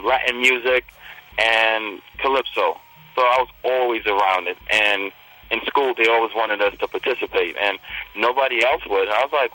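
A man's voice: medium pitch, pushed and bright, low-quality recording. A young man from Trinidad, a rapper, talking confidently and promoting himself in a casual interview. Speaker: rapper guest.

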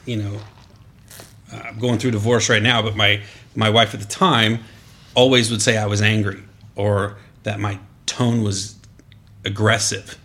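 Stern deep-voiced man with gravelly tone. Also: unhurried. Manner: stern, even, unvarying deep tone. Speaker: Marine veteran guest. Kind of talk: casual chat.

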